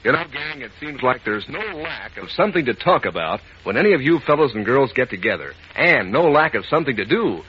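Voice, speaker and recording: Folksy old radio ad guy talking to kids, raspy, very animated, dull vintage sound. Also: medium pitch. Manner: folksy, enthusiastic. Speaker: announcer. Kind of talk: 1940s radio advertising pitch to children. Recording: muffled vintage radio broadcast.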